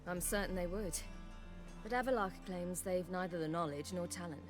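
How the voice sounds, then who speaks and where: A woman's voice: fairly low pitch, normal speed, music in the background, close to an everyday voice. An actress playing a wistful, independent young woman who travels between worlds, in video game dialogue. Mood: matter-of-fact.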